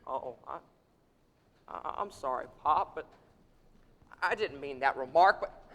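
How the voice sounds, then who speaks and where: A man's voice: medium pitch, unhurried, sharp tone. A young man playing a restless, eager young man who stammers through nervous restarts, in a live stage radio play. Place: theater stage, live audience. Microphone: standing stage mic. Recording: long hall reverb of a theater.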